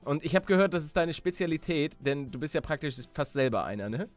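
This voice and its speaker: A man hosting a Let's Play in lively casual banter, playful and excitable, often stammering and repeating words. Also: fairly high pitch, quick pace, faint music behind.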